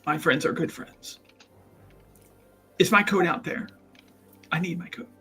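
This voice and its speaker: A man's voice: a little high, steady tone, a little slow, pushed and bright, husky, faint music behind, full character voice. A man voicing a vain, melodramatic man who is theatrically self-pitying and grandiose in a tabletop game. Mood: plaintive, overwrought. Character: vain, melodramatic man devoted to his one-of-a-kind coat.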